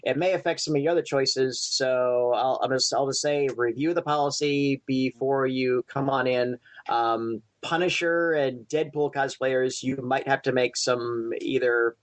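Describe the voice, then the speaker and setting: A man co-hosting a podcast in casual chat, halting and often rephrasing himself. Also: mid-range voice, no echo. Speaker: podcast co-host.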